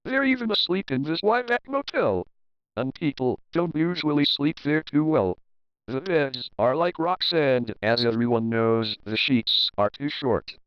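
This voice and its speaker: A man's voice: on the high side, lively intonation, unhurried, smooth, dry recording, muffled recording. A man narrating a children's picture book as a readalong, reading rhyming verse expressively and rhythmically. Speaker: storybook narrator.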